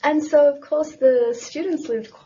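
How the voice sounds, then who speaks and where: A woman teacher answering interview questions, pausing often with small hesitations. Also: high-pitched, warm tone. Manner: hesitant with frequent "uh". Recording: muffled lo-fi recording with faint hiss.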